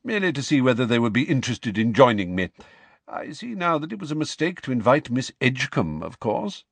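A man's voice: medium pitch, a little fast, creaky, slightly rough, dry recording, exaggerated voice. Man playing a serene, courteous old wizard headmaster. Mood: pleasant, cheerful. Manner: calm, pleasant, politely wry.

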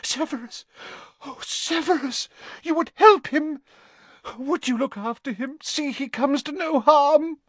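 A man's voice: very high-pitched, measured pace, a bit bright, dry recording, voice pushed up. A man voicing a distraught middle-aged mother who pleads through sobs. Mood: desperate. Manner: sobbing, desperate pleading. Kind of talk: audiobook character reading.

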